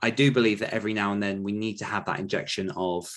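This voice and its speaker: Low-voiced man rambling casually. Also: fairly even intonation, fast talker, a bit bright. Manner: rambling, thinking aloud. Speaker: podcast host and author coach. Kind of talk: casual question-and-answer talk.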